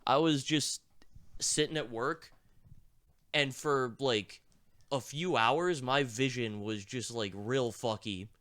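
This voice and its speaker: A young man with a sick, rough voice, co-hosting a podcast in rambling casual chat, swearing and laughing often. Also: medium pitch, slow, a bit bright. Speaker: podcast co-host.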